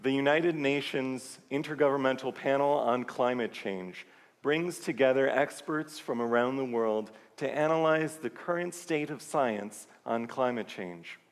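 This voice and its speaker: Guy giving an earnest sermon talk in a big church, voice rings out w long reverb. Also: medium pitch. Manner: earnest, measured delivery. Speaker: service leader. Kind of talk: sermon-style reflection to a congregation. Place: large church sanctuary.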